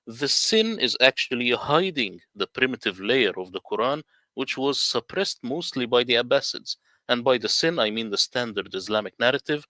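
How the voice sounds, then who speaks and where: A man's voice: medium pitch, expressive, normal speed, pushed and bright, dry recording. A man from the Middle East whose first language is Arabic, earnestly telling his story in casual livestream talk. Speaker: guest translator.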